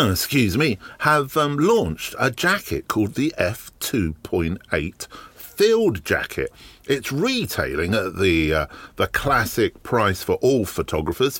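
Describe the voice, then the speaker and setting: A man with a low, slightly croaky voice hosts his podcast in a chatty, wry manner, his pitch swinging animatedly as he talks. The sound is dry and close, with no room echo. He fills his talk with ums and uhs. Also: fairly bright tone. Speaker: podcast host. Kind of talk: casual solo podcast monologue. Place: garden shed.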